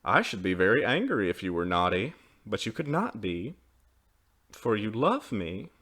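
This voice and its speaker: A man's voice: fairly low pitch, very animated, normal speed, bright, forceful tone, full character voice. A man voicing a loving mother in a storybook, tender and earnest in manner. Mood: puzzled, earnest.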